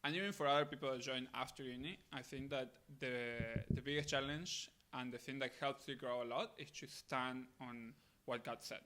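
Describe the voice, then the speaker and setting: Bright-voiced young man from Argentina. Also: medium pitch, fairly even intonation, moderate pace, few pauses. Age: late 20s. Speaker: podcast guest, missionary. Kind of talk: casual interview answers.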